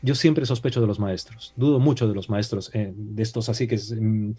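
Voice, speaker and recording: A man's voice: on the low side, fairly even intonation, brisk, dark tone, husky. A man from Peru with a Peruvian Spanish accent, a talk-show guest speaking in a reflective way with many hesitations and rhetorical questions. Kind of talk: casual talk-show discussion.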